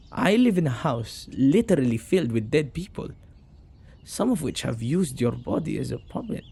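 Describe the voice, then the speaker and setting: A husky, low-lit male witch acted by a man talks intimately to one listener in an ASMR roleplay. His gravelly voice is dark and mellow, with hesitant pauses and teasing asides. He comes across as a caring, excitable keeper of spirits. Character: possessive male witch who befriends ghosts, excitable and caring.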